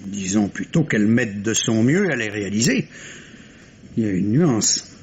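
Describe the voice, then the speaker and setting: Actor playing an impatient French surgeon husband, muffled recording. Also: male voice, fairly low pitch, animated, normal speed, darkish tone, lightly acted. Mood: irritated. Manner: crisp, formal, exasperated. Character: impatient, cool, ambitious middle-aged surgeon husband. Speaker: radio drama actor. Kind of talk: 1950s French radio drama acting. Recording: dull, noisy old radio recording.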